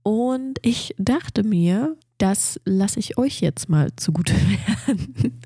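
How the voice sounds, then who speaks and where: A woman's voice: medium pitch, lively intonation, slow, dark tone, husky, dry recording, studio quality. A woman hosting a casual solo science podcast, talking eagerly about nerdy facts while she has a cold and a blocked nose. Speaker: podcast host.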